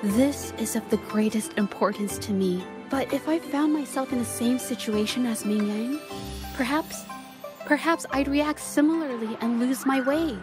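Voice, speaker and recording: Actress doing timid young artist girl from a video game, highish voice, earnest n unsure of herself, hesitant, music playing behind. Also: normal speed, lightly acted. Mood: resolute, concerned. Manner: hesitant, apologetic, softly earnest. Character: shy, earnest, self-doubting young woman painter. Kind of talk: dramatic game voice acting.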